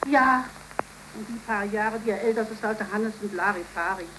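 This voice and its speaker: An actress playing a practical, scolding middle-aged mother in a 1930s German radio play, brisk and chiding. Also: medium pitch, close to an everyday voice. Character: practical, scolding, money-minded widowed mother. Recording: noisy 1931 radio recording with sizzling hiss.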